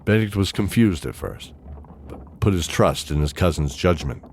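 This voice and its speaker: A man narrating a scripted fantasy drama in measured, dramatic phrases with deliberate pauses. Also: low voice, animated, darkish tone, a little hiss or hum.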